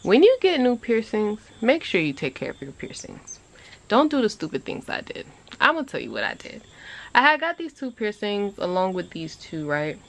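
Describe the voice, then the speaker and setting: A woman in her twenties chatting casually to a phone camera on an outdoor porch, animated and rambling. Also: moderate pace. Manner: animated, chatty, rambling with lively pitch jumps. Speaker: vlogger. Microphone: phone camera at arm's length.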